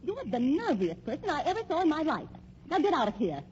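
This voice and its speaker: Haughty, high-voiced scheming young dame. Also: female voice, very animated, quick pace, darkish tone. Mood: indignant. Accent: American. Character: indignant, calculating young woman, would-be gold digger. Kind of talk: old-time radio crime drama acting. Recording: dull old radio recording, faint hum.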